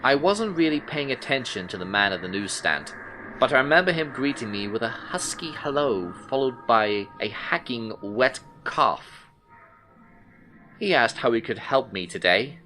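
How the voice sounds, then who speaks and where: Forceful, brooding detective narrator. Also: male voice, medium pitch, lively intonation, moderate pace, soft background music, low-quality recording. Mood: uneasy, puzzled. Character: male missing-persons detective, intent, unsettled, recounting his case. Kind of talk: first-person noir audio drama narration.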